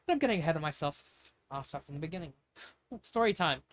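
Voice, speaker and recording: A man reading amateur fan fiction as an eager, wonder-struck young storyteller from an underground kingdom, in an earnest, halting way. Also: on the high side, expressive, slight background noise, lightly acted.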